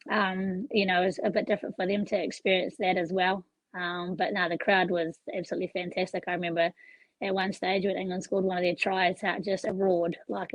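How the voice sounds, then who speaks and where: A woman rugby player speaking as a guest on a podcast, her voice worn and nearly gone. Her tone is gravelly, and she keeps a flat, steady delivery with relaxed filler words. The sound is dry and close. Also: medium pitch, dry recording, poor audio. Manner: relaxed, easygoing answers with many fillers. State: losing her voice. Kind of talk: casual interview.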